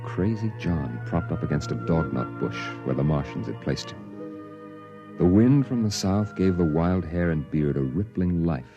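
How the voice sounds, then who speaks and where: An actor playing a somber narrator in a 1950s radio drama, telling the tale in grave, measured phrases. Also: male voice, low-pitched, animated, highs cut off, over music. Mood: grave, mournful. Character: somber man recalling a Martian exodus.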